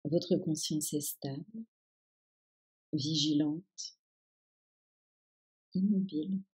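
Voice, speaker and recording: Slow, husky woman guiding meditation. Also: deep voice, rough recording. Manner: calm, soothing, instructing. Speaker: yoga nidra guide. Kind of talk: guided meditation, relaxation instructions.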